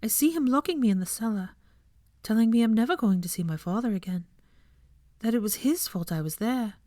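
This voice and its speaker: A woman voicing a gentle, dreamy young woman with a soft, wistful way of speaking in a dramatic story reading. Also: fairly high pitch, expressive, brisk, no echo, clean studio sound, voice pushed up, natural voice. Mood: tearful, earnest.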